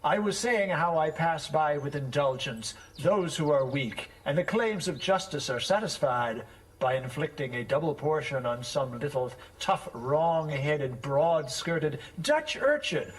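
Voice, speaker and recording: An actor playing a fussy, stern schoolmaster in an audio drama, with pompous, florid, theatrical diction. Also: male voice, a little high, lively intonation, unhurried, low-quality recording. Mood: stern, scolding.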